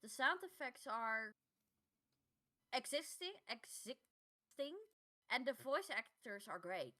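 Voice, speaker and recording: A woman co-hosting a podcast in casual chat, halting and repeating words as she finds her phrasing. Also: a little high, sing-song, bright, forceful tone. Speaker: podcast co-host.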